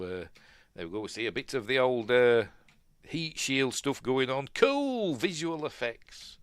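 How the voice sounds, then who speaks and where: A man with a Yorkshire accent hosting a casual film commentary, rambling and musing aloud, often second-guessing himself and trailing off quietly. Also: fairly low pitch, no echo. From Yorkshire, England. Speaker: film review host.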